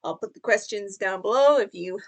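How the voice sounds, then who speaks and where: A woman's voice: mid-range voice, brisk. A young woman chatting about books in a casual vlog, rambling a bit, with fillers and restarts. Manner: chatty and rambling, with frequent fillers and self-corrections. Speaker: book vlogger. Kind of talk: casual book tag vlog.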